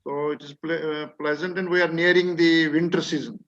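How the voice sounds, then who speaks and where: A man's voice: fairly high pitch, level tone, brisk, fairly bright tone. A man from Kashmir chatting casually before a webinar, hesitant and often correcting himself. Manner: hesitant, self-correcting, many fillers. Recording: muffled webinar audio.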